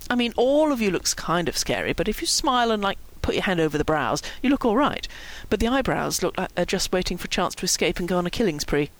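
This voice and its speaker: A woman voicing a thoughtful, blunt young man in a story, quiet and matter-of-fact. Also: lowish voice, big pitch swings, fast, low-quality recording. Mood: deadpan.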